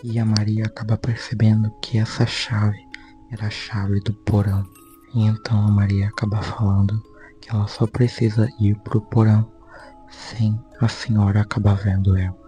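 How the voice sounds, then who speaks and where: A man's voice: fairly low pitch, flat delivery, normal speed, warm tone, faint music behind, low-quality recording. A man with a Brazilian accent informally narrating a story recap as a video host, in a conversational way with repetitive phrasing. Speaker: YouTube host.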